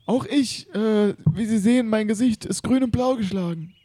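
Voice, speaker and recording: A man acts out a servile English butler in a comic audio play. His voice is very high and husky, soft-edged and close and dry, and he rambles along hesitantly. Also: normal speed, dry recording. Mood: servile, rambling. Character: eager, rambling English butler of an art collector. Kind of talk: improvised comic audio drama.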